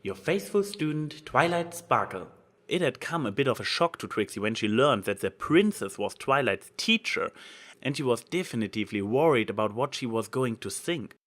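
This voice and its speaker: A man's voice: mid-range voice, lively intonation, fairly quick, a bit bright. Man narrating a dramatic fantasy story. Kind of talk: dramatic fan-fiction audiobook narration.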